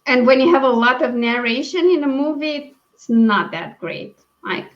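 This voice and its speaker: Female book stream host, higher pitch, halting and conversational, slightly muffled video-call sound. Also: lively intonation. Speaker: book read-along live stream host. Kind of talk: casual book discussion. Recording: dull, lo-fi stream audio.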